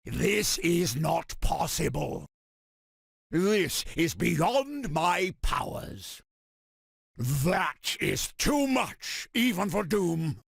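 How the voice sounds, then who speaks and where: An actor playing an arrogant armored supervillain tyrant in a video game, declaiming in a pompous, commanding, gravelly voice. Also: male voice, fairly high pitch, big pitch swings, very slow, no echo, clean studio sound, heavily acted. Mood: strained alarm. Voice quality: gravelly, rasping. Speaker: video game villain character. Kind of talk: theatrical video game villain voice clips.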